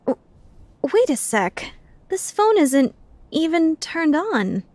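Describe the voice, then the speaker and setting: A woman playing a brisk, impatient teenage genius girl in an anime fandub, with a no-nonsense manner. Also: high voice, sing-song, a little mellow, no echo, soft background music, full character voice. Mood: puzzled, exasperated. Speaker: amateur voice actor.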